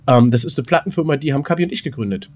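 A man's voice: medium pitch, animated, racing, darkish tone. A man in casual podcast chat, rambling and self-deprecating, with coarse slang. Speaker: podcast co-host. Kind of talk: casual chat, recounting his weekend.

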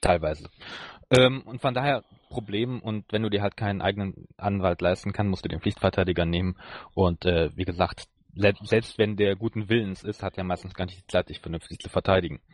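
Male German podcast co-host, lowish voice, casual discussion, very dry recording. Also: fairly even intonation, fairly quick, no room sound. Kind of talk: casual discussion of audio drama.

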